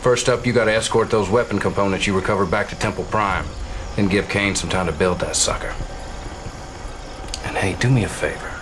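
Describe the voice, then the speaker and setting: An actor playing a cocky, loyal military officer in a video game cutscene, talking in a wry, slangy, familiar way. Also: male voice, on the low side, fairly even intonation, a little fast, noisy background, lightly acted. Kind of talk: casual game cutscene acting.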